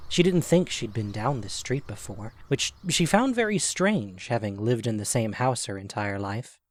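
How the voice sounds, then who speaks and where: A man's voice: mid-range voice, expressive, normal speed. A man narrating a scripted audio drama as its storyteller.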